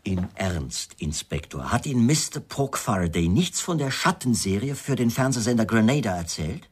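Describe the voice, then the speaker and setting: An actor playing a wry, defensive writer suspected of murder in a German radio crime drama, answering in a pointed, evasive way. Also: male voice, lowish voice, sing-song, normal speed, a little hiss or hum. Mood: sardonic.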